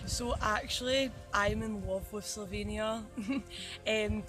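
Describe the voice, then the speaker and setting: A woman's voice: medium pitch, fairly even intonation, slow, rough recording. A woman in her twenties answering interview questions fluently and with enthusiasm. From Scotland. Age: 20s. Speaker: youth exchange participant. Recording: music playing behind.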